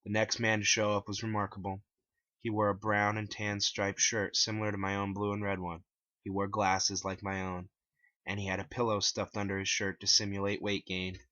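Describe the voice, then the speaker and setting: A man's voice: on the low side, monotone, moderate pace, sharp tone, no echo, dull audio. A man reading his own story aloud in a dramatic first-person narration, on a plain home recording.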